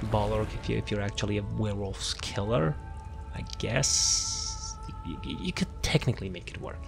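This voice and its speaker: A man with a fairly low voice and a creaky, fry-laden edge gives an off-the-cuff gaming guide. He rambles through options and doubles back on words as he searches, with light music playing behind him. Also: animated, moderate pace. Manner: rambling, repeats words and phrases while searching. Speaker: video game build guide creator. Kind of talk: casual, unscripted game build walkthrough commentary. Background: background music.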